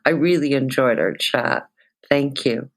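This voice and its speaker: A woman's voice: a bass voice, unhurried. A woman hosting a podcast, asking questions in an interview chat.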